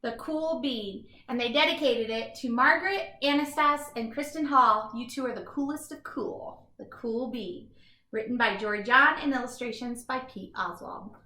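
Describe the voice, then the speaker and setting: A woman reads a children's story aloud as a teacher would, addressing young listeners directly. Her voice is high and bright, and the room adds a clear echo. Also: moderate pace. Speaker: teacher reading a picture book aloud. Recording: echoey room sound.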